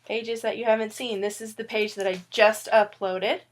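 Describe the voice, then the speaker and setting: A woman's voice: mid-range voice, fairly even intonation, moderate pace. A woman who is ill with a cough gives a casual, rambling tutorial on making comics, joking at herself and a bit loopy. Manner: loopy, rambling, self-mocking. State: sick with a cough. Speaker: webcomic artist sharing tips. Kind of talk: casual tutorial talk to viewers. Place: indoors at a wooden table.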